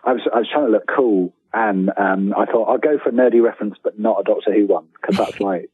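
A man's voice: on the low side, fairly even intonation, fast talker, breathy edge, no room sound. A man chatting casually as an interview guest, hesitant and wryly self-deprecating, over a tinny remote call. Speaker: guest, writer of books and audio dramas. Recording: tinny phone-like line.

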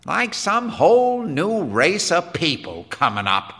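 A man voicing a gruff, hard-nosed, angry father in an audiobook, with blunt, folksy, forceful talk. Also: high-pitched, big pitch swings, slow, big-room sound, pitched above the performer's own voice, heavily acted. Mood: wondering, grumbling. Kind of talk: audiobook character dialogue.

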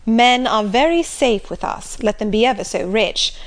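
A woman voicing a gentle, good-humoured middle-aged mother who speaks calmly and graciously. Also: fairly high pitch, normal speed, natural voice. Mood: gently amused.

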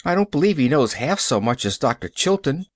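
A man's voice: a little high, very animated, brisk, dry recording, studio quality. A man voicing an eager, cheerful young girl who pleads breathlessly in a storybook reading. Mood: pleading.

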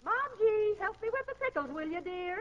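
A brisk, strict American farm wife in an old radio play. Her high voice moves up and down in lively, fussing phrases. The sound is dull vintage broadcast. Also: faint music behind. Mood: busy, fretful. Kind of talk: 1940s radio acting. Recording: muffled 1940s radio broadcast.

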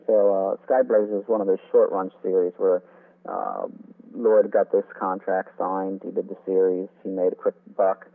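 A man speaks over a thin, phone-like line in a fairly low voice. He is an interview guest, an author explaining the history of old radio at length. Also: lively intonation, moderate pace, vocal fry. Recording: phone-like narrow, tinny sound.

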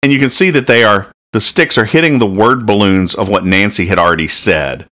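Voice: low-pitched, fairly quick, a bit bright. A podcast host chatting casually and jokingly about comic strips, often restarting as thoughts form.

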